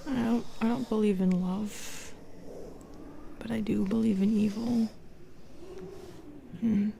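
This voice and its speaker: A woman acts out a bitter, seductive Medusa for an audio roleplay. She speaks slowly in a breathy half-whisper, brooding and lonely, over noticeable background noise. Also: mid-range voice, fairly even intonation, mellow. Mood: wounded, lonely. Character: bitter, seductive, lonely female Medusa who turns people to stone. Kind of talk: intimate audio roleplay acting.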